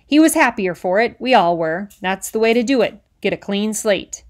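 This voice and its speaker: A woman voicing a loud bullying small-town cop. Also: mid-range voice, lively intonation, moderate pace, lightly acted. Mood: lecturing. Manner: loud, lecturing, rough folksy grammar. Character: blustering bigoted middle-aged male island policeman. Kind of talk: audiobook character reading.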